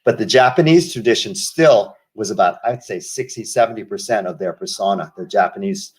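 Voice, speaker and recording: An older man from the United States speaks in a lowish voice as an interview guest. He tells his stories slowly and reflectively, doubling back and restarting his sentences. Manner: reflective storyteller, many restarts and asides. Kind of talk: casual interview conversation.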